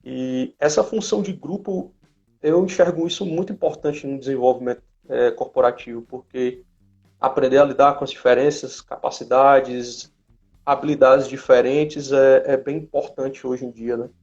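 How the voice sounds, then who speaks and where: Gravelly man, dull lo-fi audio. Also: mid-range voice, steady tone, normal speed, a little mellow. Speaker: host of a live chat. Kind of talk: casual interview chat. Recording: dull lo-fi live-stream audio.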